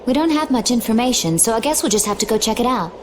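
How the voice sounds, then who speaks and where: A woman voicing a composed, level-headed adventurer in a video game, measured and matter-of-fact. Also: fairly high pitch, animated, a little hiss or hum, close to an everyday voice. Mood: calm, practical. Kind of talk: dramatic video game voice acting.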